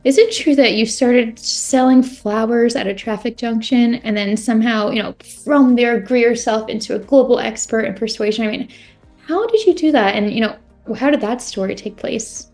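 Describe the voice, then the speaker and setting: A woman's voice: a little high, normal speed, darkish tone, soft background music. Chatty woman hosting a podcast. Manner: encouraging, chatty, lots of "you know". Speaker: podcast host. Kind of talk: casual interview hosting.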